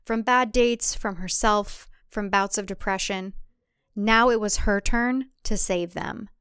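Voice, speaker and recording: A woman's voice: mid-range voice, steady tone, slow, fairly bright tone, clear, resonant tone, no room sound, clean studio sound. A woman reading scripted present-tense thriller narration for an audio drama.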